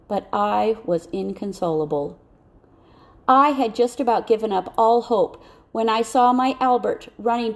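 Female audiobook narrator, mid-pitched with noticeable creak, expressive, careful first-person storytelling.

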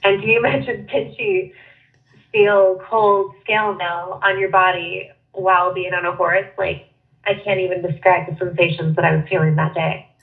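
A woman's voice: on the low side, normal speed, gravelly. Bubbly woman with a stuffy cold. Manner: bubbly, laughing easily. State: stuffy head cold, nasal. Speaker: podcast guest, wrestler. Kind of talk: casual chat. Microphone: phone call. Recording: tinny phone line.

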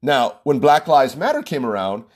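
A man's voice: medium pitch, sing-song, normal speed. A man from Hawaii giving a loose, conversational opinion monologue, often repeating and restarting his phrases. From Hawaii, USA.

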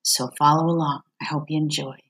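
A woman who hosts a podcast and writes songs, talking openly and reflectively at home while hoarse from losing her voice. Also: low voice, little pitch movement, brisk, a bit bright, rough recording. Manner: open, reflective, confiding. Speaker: podcast host, singer-songwriter. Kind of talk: candid personal monologue. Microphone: computer recording.

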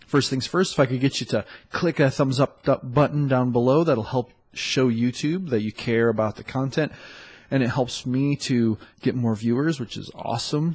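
A man hosting a dating podcast in a loose, chatty way, sounding congested and often pausing on fillers. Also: mid-range voice, darkish tone, slightly rough. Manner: loose and chatty, with many fillers and self-corrections. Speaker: podcast host and dating coach. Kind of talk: casual solo podcast talk.

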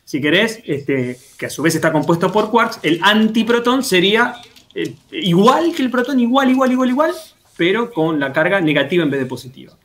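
A man's voice: high-pitched, expressive, normal speed, a bit bright. A man with an Argentine accent explaining in casual conversation, didactic and often checking that listeners follow with short tag questions. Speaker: panelist explaining science.

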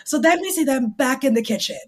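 A woman's voice: high-pitched, expressive, brisk, a little hiss or hum. A woman hosting a podcast, recapping reality TV in a casual, gossipy, sweary and explanatory way. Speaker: podcast host.

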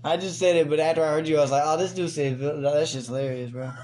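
A man co-hosting a casual podcast, joking, laughing and swearing a lot while high. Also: steady tone, racing, darkish tone, a little hiss or hum. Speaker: podcast co-host. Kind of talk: casual crude chat.

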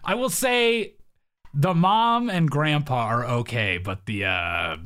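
A man's voice: on the high side, slow pace, a bit bright, clean tone. A man co-hosting a movie podcast in joking casual chat, sarcastic and sweary with mock exasperation. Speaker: podcast co-host.

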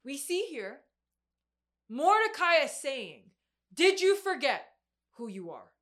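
A woman's voice: sits high, big pitch swings, slow pace, a bit bright. A woman in her mid-twenties preaching a sermon in a conversational, informal and emphatic way, with youthful slang. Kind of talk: sermon to a group, conversational Bible exposition.

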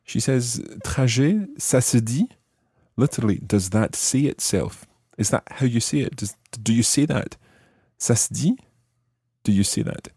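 A man hosting a language lesson, explaining in a deliberate way and repeating phrases word by word. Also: mid-range voice, animated, dark tone, slightly rough, dry recording, studio quality. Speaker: language teacher and podcast host.

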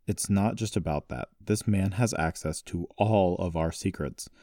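A man's voice: low-pitched, normal speed, dark tone, clean studio sound. A man voicing a stern, protective grandfather, grave and commanding, in audiobook dialogue. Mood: grave, firm. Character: stern, protective, secretive grandfather, wealthy family patriarch. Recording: roomy echo, distant mic.

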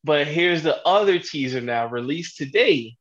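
A man joking and swearing in excitable casual chat on a podcast. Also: a little high, moderate pace. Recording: muffled lo-fi mic.

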